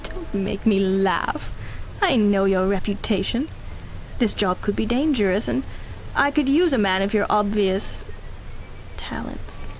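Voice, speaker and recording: A woman's voice: mid-range voice, expressive, unhurried, faint music behind, poor audio. An actress playing a cynical, ruthless female mercenary in a 1930s-style radio drama, speaking with arch, mocking condescension. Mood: coolly mocking.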